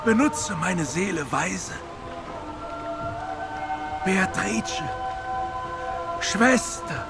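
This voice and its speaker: A man playing a remorseful, dying crusader in a dubbed video game, halting and mournful. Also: sits high, animated, creaky, exaggerated voice. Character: fallen crusader brother, remorseful, weary and dying. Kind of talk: dramatic video game dubbing. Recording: loud music over voice.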